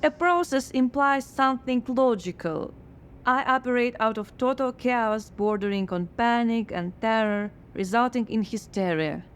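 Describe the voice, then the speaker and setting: A woman's voice: on the high side, normal speed, slight background noise, natural voice. A woman playing a sardonic frazzled writer. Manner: sardonic, dry, skeptical. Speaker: scriptwriter. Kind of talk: radio drama acting.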